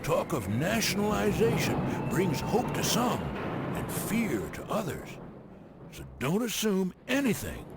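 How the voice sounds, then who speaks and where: An actor playing a crusty, teasing old American railroad man, narrating game cutscenes in a folksy, theatrical way with a gravelly voice. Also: on the high side, heavy background noise, heavily acted. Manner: folksy, scolding, colorful old-time slang.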